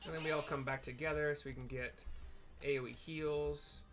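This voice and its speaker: A man's voice: a little high, steady tone, moderate pace, a bit bright. Man casually commentating gameplay over music. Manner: informal, thinking aloud, hesitant. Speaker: gamer narrating own playthrough.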